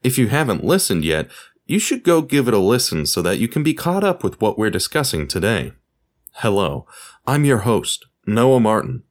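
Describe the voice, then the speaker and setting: A man's voice: on the low side, big pitch swings, moderate pace. A man presenting a podcast as its host, reading scripted narration in an explanatory way. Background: music.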